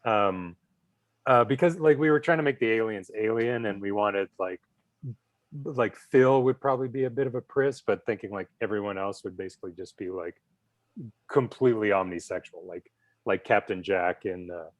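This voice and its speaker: A man giving casual feedback as a co-writer, searching for his words in a halting way. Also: fairly low pitch, dry recording. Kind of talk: casual chat.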